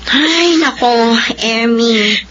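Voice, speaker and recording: A woman's voice: fairly high pitch, drawn-out words, a bit bright. A woman playing a blunt, nosy, scolding friend in a radio drama, with a Filipino accent. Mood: reproachful. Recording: dull radio audio, faint noise.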